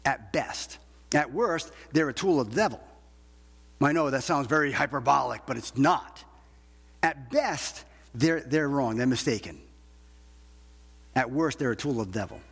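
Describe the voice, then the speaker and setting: Male evangelical preacher, rough-edged voice, emphatic and repetitive sermon delivery, big echoing hall. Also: medium pitch, lively intonation, a little slow, pauses often, a bit bright. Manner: emphatic, repeats phrases with rhetorical questions. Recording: long hall reverb.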